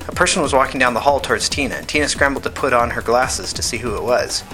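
A man narrates a scripted novel for a podcast. His voice is mid-pitched, and his delivery is measured and matter-of-fact in audiobook style. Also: sharp tone, faint music behind.

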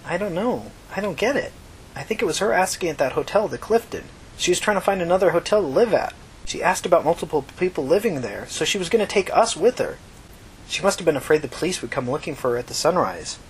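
A woman's voice: on the low side, expressive, quick pace, a little hiss or hum, voice pushed up, close to an everyday voice, low-quality recording. A woman voicing a desperate, stammering teenage runaway girl in an emotional audiobook story. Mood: puzzled. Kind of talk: audiobook character dialogue.

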